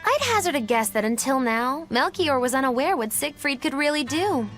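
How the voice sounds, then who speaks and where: A woman's voice: high voice, animated, normal speed, a bit bright, faint music behind. An actress playing a sly young female witch in a game, who lectures in a teasing, playful way.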